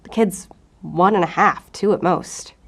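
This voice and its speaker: A woman's voice: on the low side, measured pace, vocal fry, breathy edge, exaggerated voice. A woman acting in an audio drama as a hard-edged FBI special agent, blunt, probing and short-tempered. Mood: probing, cool.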